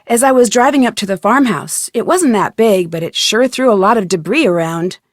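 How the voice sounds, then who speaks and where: A woman's voice: medium pitch, lively intonation, a little fast, no echo, studio quality, lightly acted. A woman playing an inquisitive, polite young female amateur detective in a video game, asking questions in a curious way. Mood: curious, friendly. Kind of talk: video game dialogue acting.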